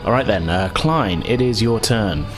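A man with a low voice acts as game master at a tabletop game. He alternates between rules talk and narrated space combat, with music clearly playing underneath. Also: animated, moderate pace.

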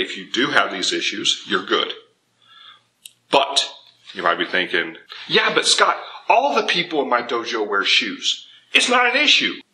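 A man in his thirties giving informal, animated, emphatic instruction to camera as a martial arts teacher. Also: fairly low pitch, a little hiss or hum, poor audio. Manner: animated, emphatic, acts out imagined objections. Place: indoor dojo with bare walls and wood floor.

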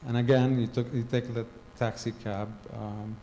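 A middle-aged man from Gaza telling a personal story to a conference audience into a handheld mic, halting with frequent ums and restarts. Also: medium pitch, little pitch movement, dark tone, slight background noise. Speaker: conference speaker. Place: large hall with a stage. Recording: long hall reverb, faint ambience.

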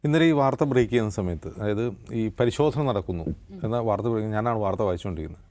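A man's voice: lowish voice, rapid-fire, darkish tone. A man with a Kerala accent speaking as a news panelist, explaining current affairs in detail. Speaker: news anchor and panelist on a news discussion show.

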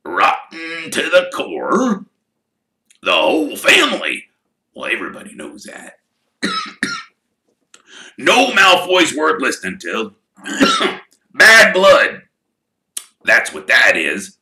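A man reading aloud and voicing a rough, kindly giant who talks loudly and gruffly. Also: on the high side, huge pitch swings, takes it slowly, pauses often, voice pushed up, full character voice. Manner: loud, gruff, blustering. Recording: echoey room.

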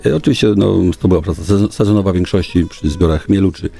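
A middle-aged man with a very deep, dark voice gives formal answers in a small radio studio. He pauses often and stretches out his hesitations. Faint music plays behind him. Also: gravelly. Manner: halting, formal official speech with frequent hesitations. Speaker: local government official, guest. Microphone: studio boom microphone.

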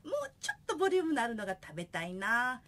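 A woman acts as a cheeky young daughter in a comic radio drama. Her voice is high and bright, with eager, animated swings in pitch. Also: rapid-fire.

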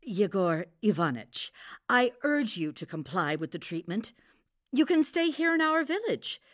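A woman playing a composed, caring village healer in an audio drama, steady, practical and coaxing. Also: medium pitch, a little slow, no echo, clean studio sound. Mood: firm.

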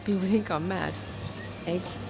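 A woman's voice: lowish voice, measured pace, creaky. A woman filming a casual tour of a farm shop on her phone, talking enthusiastically and exclaiming at what she finds. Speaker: vlogger touring a farm shop. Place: large indoor farm shop. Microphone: handheld phone camera. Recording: loud background music.

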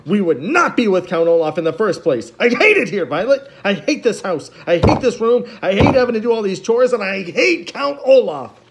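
A man's voice: a little high, animated, brisk, darkish tone, a little hiss or hum, pitched above the performer's own voice, natural voice. A man voicing an earnest, anxious bookish boy in a storybook reading. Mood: angry. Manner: earnest, rising to upset outbursts.

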